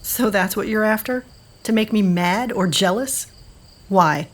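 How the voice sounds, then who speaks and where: A woman's voice: medium pitch, measured pace, close to an everyday voice. A wary, bitter woman played by an actress with a mid-range voice. She spars in a pointed, guarded manner, in the style of an audio drama. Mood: suspicious.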